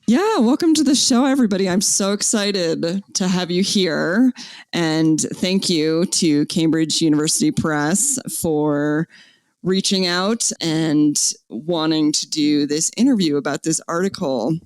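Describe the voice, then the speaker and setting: A woman's voice: sits low, unhurried, darkish tone, no room sound. Upbeat woman podcast host, dry sound. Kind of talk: podcast hosting.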